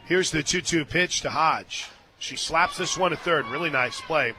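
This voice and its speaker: Higher-pitched American man calling radio play-by-play. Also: moderate pace, pushed and bright, slight background noise, rough recording. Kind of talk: live sports commentary with chatty asides. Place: stadium radio booth.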